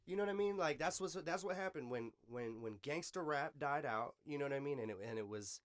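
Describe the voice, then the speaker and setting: An American man chatting casually as a podcast host in street slang, with swearing and a loose, halting delivery. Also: fairly high pitch, expressive.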